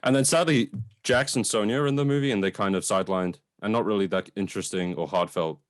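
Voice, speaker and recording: A man's voice: lowish voice, quick pace. A man co-hosting a casual review discussion, conversational, often repeating words as he works out his phrasing. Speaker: film review show co-host.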